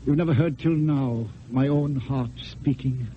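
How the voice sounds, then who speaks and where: An actor playing a passionate, eloquent poet wooing in secret, in lyrical theatrical declamation with dramatic pauses. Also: male voice, medium pitch, slight background noise, lightly acted. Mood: ardent, rapturous. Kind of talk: mid-century theatrical classical stage acting. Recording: dull old radio recording with music.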